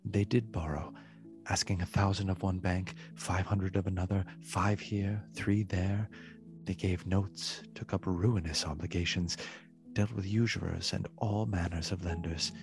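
A man narrating a literary radio play in a solemn, measured, dramatic way, with a husky voice. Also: low-pitched, steady tone. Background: music.

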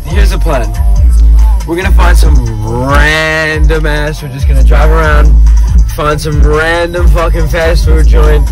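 A man chats casually in vlog style with a slightly raised pitch. Very loud hip hop plays behind his voice. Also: steady tone, a little slow. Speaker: vlogger. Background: loud hip hop music.

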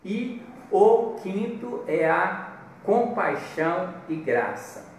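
A man in his fifties with a Brazilian accent, preaching a devotional in a measured, deliberate way with pauses. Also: high voice, lively intonation, a little hiss or hum. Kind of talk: devotional sermon with Bible reading. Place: small bare hard-walled room indoors. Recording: very echoey room, distant mic.

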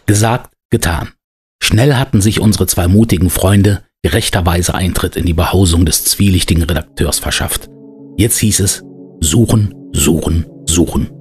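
A man playing the narrator of a detective audio drama, telling it as a measured, mock-earnest storyteller. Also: low voice, soft background music, natural voice. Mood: storytelling. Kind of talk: scripted narration.

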